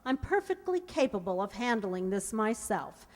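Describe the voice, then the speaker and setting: A woman playing a self-possessed young woman, brisk and assured, in an old-time radio comedy. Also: medium pitch, big pitch swings, close to an everyday voice. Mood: hurt, sarcastic. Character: plucky, self-possessed young woman guarding her baby. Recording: echoey hall stage recording.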